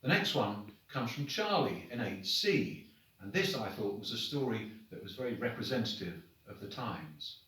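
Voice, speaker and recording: A man's voice: lowish voice, very animated, normal speed, sharp tone. Man reading aloud in a very echoey room. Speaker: headmaster reading pupils' stories. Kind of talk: expressive storybook reading to children. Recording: very echoey room, distant microphone.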